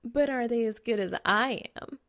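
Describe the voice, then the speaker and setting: A woman's voice: fairly high pitch, normal speed, a bit bright, dry recording, clean studio sound, voice pushed up. A woman voicing a snarky, teasing young woman gamer in an audiobook story. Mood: haughty. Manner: teasing, cocky.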